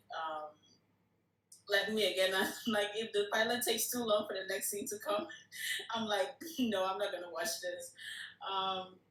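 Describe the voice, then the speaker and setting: A woman's voice: on the low side, a bit bright. A woman giving casual feedback as a participant in a group discussion. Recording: echoey room.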